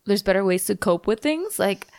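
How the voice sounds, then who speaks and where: A woman co-hosting a podcast in casual chat, blunt and quick to swear. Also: mid-range voice, animated, darkish tone, smooth. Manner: blunt, swears freely, rambling. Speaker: podcast co-host.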